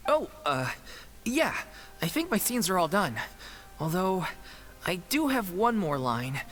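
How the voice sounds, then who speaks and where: A woman voicing a young, excitable theatre troupe performer in a game, protective and worried, speaking expressively and casually. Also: on the low side, measured pace. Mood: hesitant. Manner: expressive, colloquial.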